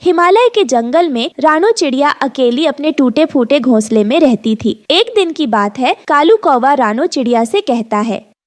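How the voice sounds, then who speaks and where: A woman's voice: sits high, lively intonation, normal speed, dry recording. A woman reading scripted narration for a children's story.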